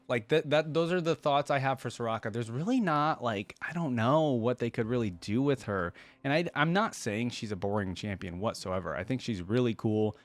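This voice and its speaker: A man's voice: on the low side, no echo. A man giving casual, unscripted commentary as a reaction video host, talking in a rambling, conversational way.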